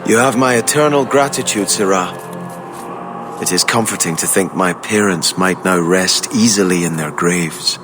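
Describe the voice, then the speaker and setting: An actor playing a devout, earnest exiled prince in a fantasy video game, speaking with courteous formality. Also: male voice, low-pitched, moderate pace, music in the background, close to an everyday voice. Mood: surprised, grateful.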